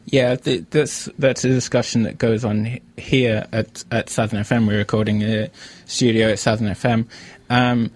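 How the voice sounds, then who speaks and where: A man's voice: on the low side, little pitch movement, moderate pace. An Australian man hosting a casual interview podcast from a radio studio.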